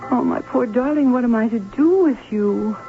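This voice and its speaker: An actress playing a prim, anxious, fussy middle-aged aunt who tells her story in an old radio drama, with dramatic emphasis and deliberate pauses. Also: on the high side, natural voice. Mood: fussing, tender. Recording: dull vintage radio recording, faint music.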